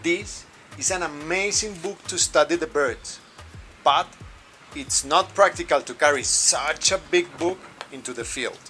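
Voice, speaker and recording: Bright-voiced Colombian man explaining carefully. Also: on the high side, a little slow, soft background music. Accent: Colombian Spanish. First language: Spanish. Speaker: birding guide. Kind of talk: informal review to camera.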